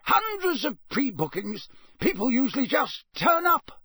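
A man voicing a gruff, suspicious middle-aged campsite owner who questions people bluntly. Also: extremely high pitch, sing-song, unhurried, bright, forceful tone, no room sound, clean studio sound, voice pushed up, heavily acted.

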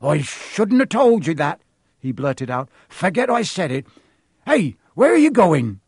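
Gruff, rambling big gamekeeper, put on by a man, lively storybook read. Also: fairly high pitch, a little slow, no room sound, studio quality, heavily acted. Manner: rambling, folksy. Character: big gruff male gamekeeper, talkative and careless.

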